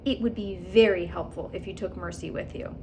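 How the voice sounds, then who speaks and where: A woman's voice: lowish voice, fairly even intonation, a little fast, darkish tone, a little hiss or hum, close to an everyday voice, low-quality recording. A woman voicing an obliging, slightly flustered married woman in a storybook. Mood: flustered, polite.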